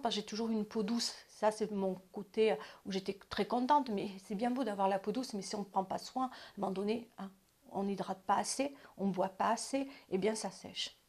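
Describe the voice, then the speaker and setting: A woman in her fifties gives self-care advice in a loose, chatty way. She repeats herself, restarts sentences and lets her voice drop into quiet asides. Her pitch sits in the middle range for a woman. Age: 50s. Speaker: advice channel host. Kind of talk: casual spoken advice.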